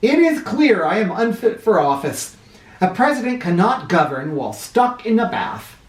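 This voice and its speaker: Storybook president, male, stout and easily exasperated, played by a woman; animated swings, grandiose bellowing, roomy sound. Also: medium pitch, normal speed, pitched above the performer's own voice, natural voice. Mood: pompous, indignant. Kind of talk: children's storybook character voice. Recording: roomy, somewhat far from mic.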